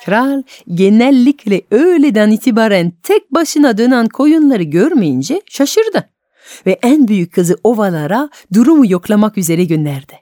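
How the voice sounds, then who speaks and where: Mellow, animated woman storyteller. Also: fairly high pitch, moderate pace, dry recording. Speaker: storyteller on a radio show for adults. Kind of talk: expressive folk tale narration.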